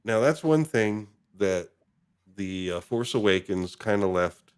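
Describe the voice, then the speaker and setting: A man with a low voice speaks as a podcast host in a casual interview. His delivery is conversational and informal. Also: expressive, unhurried, clean tone.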